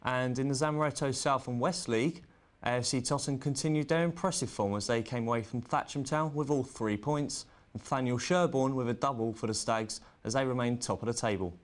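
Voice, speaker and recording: A man's voice: mid-range voice, fairly quick. Man reading a scripted sports bulletin. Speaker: sports news presenter.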